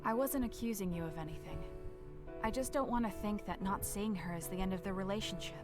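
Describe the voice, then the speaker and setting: A woman playing a reserved, caring young female fighter in a video game, speaking in a measured, matter-of-fact way. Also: medium pitch, lightly acted. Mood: gentle, earnest. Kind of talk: English video game cutscene voice acting. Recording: game audio with background music.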